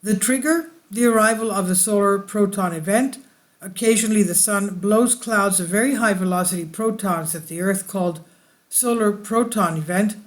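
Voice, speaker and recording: A host reading space weather news aloud in a halting way, stumbling over some words. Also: medium pitch. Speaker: video channel host.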